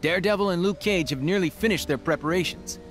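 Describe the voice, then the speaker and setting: An actor playing an earnest young martial-arts superhero in a video game, friendly in manner. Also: male voice, sits high, fast talker, soft background music, natural voice. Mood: earnest, upbeat.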